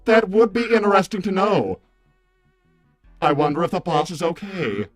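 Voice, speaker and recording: Man as vain cartoon robot assistant, high-pitched, fussy, formal, wordy, slightly sardonic, faint music underneath. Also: sing-song, moderate pace. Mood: dry approval. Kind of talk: improvised in-character livestream roleplay.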